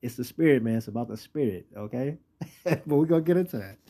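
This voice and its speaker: A man's voice: medium pitch, expressive, fast, mellow. An American man preaching informally in a colloquial, slangy way, moving between plain talk and scripture reading. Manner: colloquial, street slang and profanity. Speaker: religious teacher.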